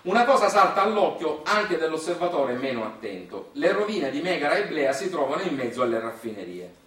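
A fairly high-voiced man with a Sicilian accent tells stories in a theatrical monologue. His manner is folksy and colloquial, peppered with dialect. He is some way from the mic in a heavily reverberant space. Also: very animated, fairly quick, a bit bright. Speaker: stage storyteller. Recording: very echoey room, distant mic.

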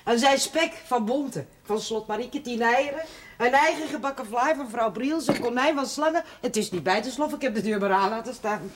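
An actress playing a bossy but loyal housekeeper in a television drama, speaking bluntly and matter-of-factly. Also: female voice, a little high, moderate pace, noisy background, close to an everyday voice. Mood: fussing. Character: plain-spoken, bossy, loyal housekeeper woman.

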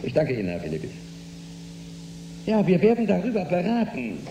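An actor playing a commanding, weary spaceship captain in a German radio drama. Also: male voice, high-pitched, wildly swooping pitch, fairly quick, warm tone, exaggerated voice. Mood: stern. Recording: roomy studio with clear background hum.

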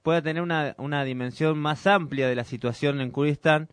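A man's voice: fairly high pitch, a little fast, clear, resonant tone, no room sound. A man with an Argentine accent hosting a radio interview, patiently rephrasing his questions for his guest. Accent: Argentine Spanish. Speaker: radio host. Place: radio studio.

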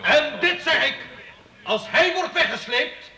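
An actor playing a loud, good-hearted, rebellious medieval townsman in a radio drama, outspoken. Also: extremely high pitch, expressive, slow, recorded from a distance, slight background noise, exaggerated voice. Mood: defiant, outraged. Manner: impassioned, outspoken. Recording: echoey, off-mic amid crowd.

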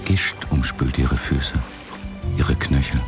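An actor playing a brooding Mars colonist who narrates his drug trip in an intimate, deliberate inner monologue for a radio drama. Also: a bass voice. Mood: enraptured. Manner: slow, deliberate, intimate first-person telling. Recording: loud music behind the voice. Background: loud music.

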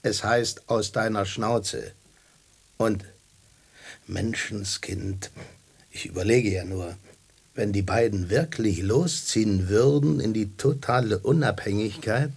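Radio drama role: scruffy independent male stray cat, rough husky voice, slow delivery, blunt. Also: lowish voice, heavily acted. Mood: gruff, musing. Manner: blunt, colloquial.

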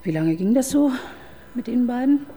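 A husky, dry-witted police inspector. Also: female voice, fairly high pitch, animated, moderate pace, dark tone. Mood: interrogating. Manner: dry, probing, ironic questioning. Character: sharp skeptical female police inspector. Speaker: detective chief inspector. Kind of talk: radio crime drama acting.

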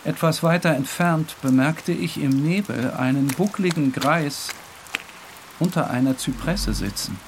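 A man's voice: fairly high pitch, measured pace. Haunted male painter, ambient noise behind. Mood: haunted, brooding. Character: disturbed, morbid opium-addicted male painter narrating. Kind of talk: dramatic literary monologue.

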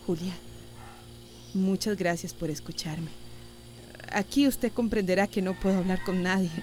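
A woman's voice: fairly low pitch, steady tone, a little slow, darkish tone, a little hiss or hum, natural voice. An actress with a Central American accent playing a worried mother in a radio drama. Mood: grateful, confiding. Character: worried mother, scolding her son, confiding.

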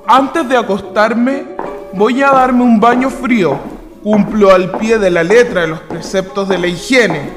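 An actor playing an overbearing, self-important host obsessed with cleanliness in a radio drama. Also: male voice, sits high, unhurried. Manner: commanding, pompous. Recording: long reverb, music underneath.

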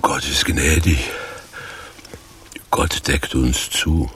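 Slow, very deep, melancholy tramp. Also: male voice, fairly even intonation, fairly bright tone, slightly rough, heavily acted. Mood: brooding. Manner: solemn, deliberate, theatrical. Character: melancholy homeless ex-actor from East Germany, brooding and theatrical. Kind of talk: radio play acting. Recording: 1980s radio drama with faint noise.

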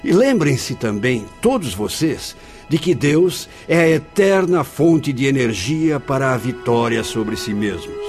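An actor playing a kindly, fatherly spirit director in a radio drama, speaking in a measured, formal, preaching manner. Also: medium pitch, very animated, darkish tone, faint music behind. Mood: fatherly, exhorting. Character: solemn, kindly male spirit director of a hospital, fatherly mentor.

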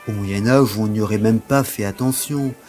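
Man acting an earnest, theatrical gentleman, lowish voice. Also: moderate pace, faint music behind, close to an everyday voice. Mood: self-justifying. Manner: formal, theatrical, literary. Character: earnest, self-dramatizing gentleman lover craving his punishment. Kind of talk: French audio drama acting.

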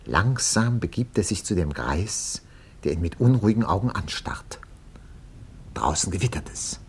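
Spooky story narrator, deep voice, dramatic and solemn, hissy old radio recording. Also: male voice, big pitch swings, normal speed, creaky, exaggerated voice. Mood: solemn suspense. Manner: solemn, suspenseful. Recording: noisy 1950s radio recording.

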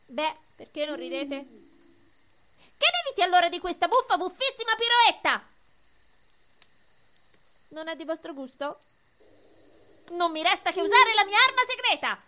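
A woman's voice: very high voice, very animated, a little slow, pauses often, pushed and bright, exaggerated voice. A woman playing a mischievous marble boy, clownish and pleading, in a theatrical Italian radio play. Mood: manic, clownish. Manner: theatrical, exaggerated.

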